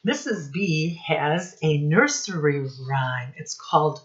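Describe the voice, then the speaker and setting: A woman's voice: sits low, animated, slow pace, highs cut off. A woman teacher leading young children through a counting lesson in an encouraging, sing-song way.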